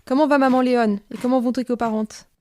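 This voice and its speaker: Woman doing a rusty old robot friend, affectionate and chatty, higher voice, dry sound, audio drama. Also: fairly even intonation, fast talker, dark tone, dry recording, natural voice. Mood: fond, chatty. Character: Donna, affectionate aging female robot friend with rusting parts. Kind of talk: French audio fiction drama.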